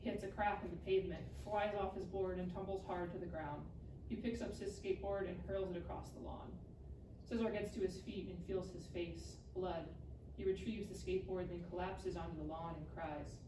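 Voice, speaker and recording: Low-voiced woman narrating, echoey room. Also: steady tone, normal speed. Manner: reads out clipped scene directions. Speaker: stage-direction reader. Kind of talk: scripted narration at live table read. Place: live reading in a room. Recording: echoey room, distant mic, background noise.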